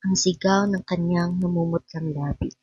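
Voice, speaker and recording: A woman's voice: lowish voice, fairly even intonation, moderate pace, dark tone. A woman narrating an epic poem in scripted, dramatic radio style on a lo-fi recording. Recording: dull lo-fi recording. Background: faint music.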